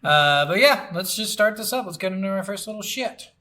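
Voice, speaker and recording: A man's voice: high voice, animated, a little fast, bright, forceful tone. A man co-hosting a casual video game podcast, joking around in crude, profanity-laced banter. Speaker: podcast co-host. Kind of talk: casual comedic banter about video games.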